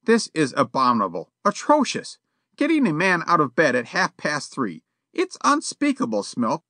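A man voicing a prim, formal older gentleman who speaks with stiff, precise courtesy in an audiobook reading. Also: a little high, huge pitch swings, normal speed, dry recording, studio quality, pitched above the performer's own voice, full character voice. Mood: indignant.

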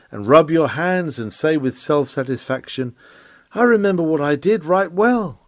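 A man's voice: on the high side, dry recording. A man reading a historical sermon aloud in an earnest, exhortatory and rhetorical manner, with dramatic delivery.

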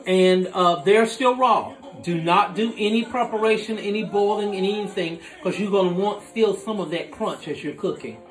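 A man in his forties hosting a home cooking demonstration, explaining each step in a relaxed, instructive way as he works at his kitchen counter. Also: high voice, normal speed, few pauses, echoey room, soft background music. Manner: relaxed, instructive, talks while working with his hands. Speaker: cooking show host. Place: home kitchen with dining room behind, indoors.

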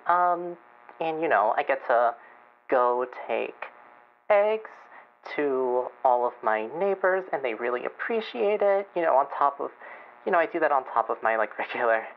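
A woman playing a lonely, gentle chicken farmer calling in to a radio show, hesitant and halting with nervous chuckles, over a muffled call. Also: deep voice. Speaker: caller.

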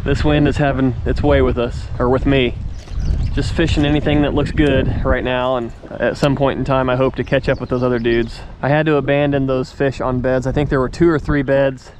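A man hosting his own fishing channel, chatting excitedly to viewers from a kayak on a river and sounding tired. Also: mid-range voice, a little fast. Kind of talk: casual on-the-water vlog narration. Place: outdoors on a river, in a kayak and on the bank. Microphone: action camera. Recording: wind and water noise from outdoor camera.

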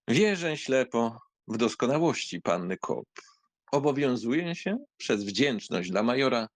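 A man plays a flippant young nobleman teasing his elders in an audiobook drama. His pitch swings widely over a slow, ironic delivery, and the voice often drops into a creak. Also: no room sound, full character voice. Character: mocking, carefree young count, teasing bachelor nephew.

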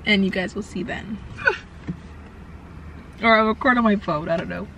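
A woman in her twenties talking to a handheld camera in a casual vlog, chatty and hoarse from losing her voice. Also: mid-range voice, measured pace, heavy background noise. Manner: chatty, says "like" and "oh my gosh" often. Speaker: vlogger. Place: bedroom, then inside a car. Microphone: handheld camera at arm's length.

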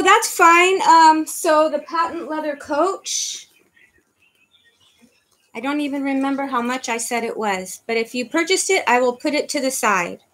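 High-pitched woman in her fifties running a live purse auction on webcam, rattling off bids and thank-yous. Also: bright, forceful tone. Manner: chatty, reads out bids and thanks viewers by name. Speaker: online auction host selling handbags. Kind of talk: live-stream auction patter. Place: living room at home, indoors. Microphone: webcam in front of her.

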